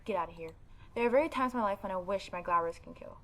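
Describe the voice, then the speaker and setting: A woman's voice: mid-range voice, racing, fairly bright tone. A woman hosting a casual let's play, giving informal, opinionated commentary. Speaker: gaming video host.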